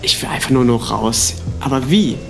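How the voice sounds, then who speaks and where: A lenient, restless guard on a city wall, acted by a man in a school radio play. The voice is of middling pitch, with faint music behind it. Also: moderate pace, vocal fry, natural voice. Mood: weary.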